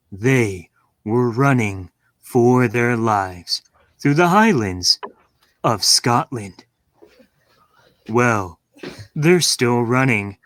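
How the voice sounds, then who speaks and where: A man narrating a radio play as a measured, deadpan announcer in 1930s style. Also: mid-range voice, no echo.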